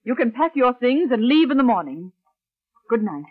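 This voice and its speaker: An actress playing a wealthy, commanding and dismissive wife in an old-time radio mystery, speaking imperiously. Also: female voice, a little high, big pitch swings, moderate pace. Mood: indignant. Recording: tinny, narrow old radio recording.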